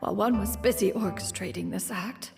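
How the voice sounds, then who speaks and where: A woman's voice: medium pitch, brisk, gravelly, natural voice. A woman voicing a grand, theatrical immortal master with formal speech in a video game. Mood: stagey, scheming. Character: haughty, scheming female immortal master feigning polite chat. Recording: faint music behind.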